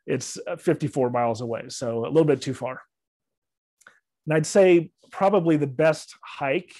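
A man's voice: medium pitch, a little mellow, no room sound. A middle-aged man giving a friendly, loosely worded travel talk with slides over a video call. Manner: friendly, loose, with fillers and restarts. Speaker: library travel club presenter. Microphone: video call webcam.

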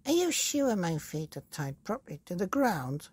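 A woman voicing a silly old wife, high-pitched, breathy and anxious, in a storybook reading. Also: big pitch swings, moderate pace, darkish tone.

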